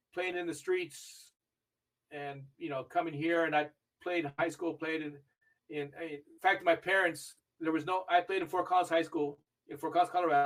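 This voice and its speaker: An older man who grew up in Argentina, telling stories in a chatty, animated way as a podcast interview guest. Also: fairly high pitch, distant mic, low-quality recording. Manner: chatty storytelling, animated when recalling matches. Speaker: interview guest, video review manager.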